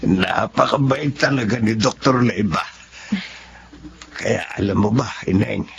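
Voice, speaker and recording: An actor playing a humble, earnest and grateful old grandfather with a creaky voice in a Filipino radio drama. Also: lowish voice, a little slow, dull audio. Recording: noisy radio drama audio.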